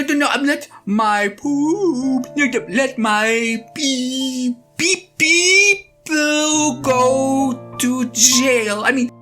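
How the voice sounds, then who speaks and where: Reluctant, aging prophet and husband, played by a woman: higher-pitched, halting, pleading, conversational audio drama monologue over clear music. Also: a bit bright, heavily acted. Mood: exasperated, sheepish. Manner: halting, stammering, pleading, sighing. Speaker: actor in a one-person audio drama. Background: guitar and piano music.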